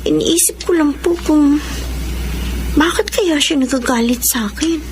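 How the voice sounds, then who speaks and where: A very high, youthful voice over clear background noise. She is a gentle, selfless niece with a Filipino accent, speaking politely and pleadingly. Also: a little slow. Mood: puzzled. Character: gentle, selfless young niece, wrongly accused. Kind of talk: Filipino radio drama acting. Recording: noisy radio drama recording.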